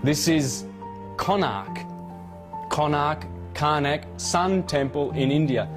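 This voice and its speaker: A man's voice: on the high side, slow. A man giving an informal, emphatic lecture on esoteric symbolism, repeating key words and throwing in scornful asides. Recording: loud music behind the voice. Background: music.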